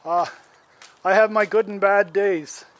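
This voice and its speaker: A man's voice: high-pitched, fairly even intonation, a little slow. A man in his seventies filming himself outdoors around his yard on a handheld phone, airing an aggrieved complaint in a plain-spoken, rambling way with restarts. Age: 70s. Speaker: homeowner. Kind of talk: casual complaint vlog, filming as he walks.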